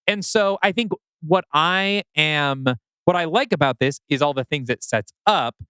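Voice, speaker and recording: A man co-hosting a podcast, casually critiquing a story and acting out imagined quotes in an animated way. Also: on the high side, unhurried, smooth, dry recording.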